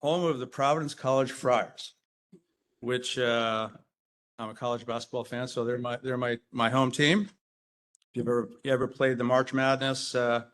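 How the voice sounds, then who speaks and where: A man from Rhode Island speaks to a conference hall audience at an everyday middle pitch. He keeps it chatty and self-deprecating, tossing questions out to the room. His talk is full of "um"s and restarts. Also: steady tone, moderate pace, fairly bright tone. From Rhode Island, USA. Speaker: engineer presenting at a conference. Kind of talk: conference presentation with audience banter.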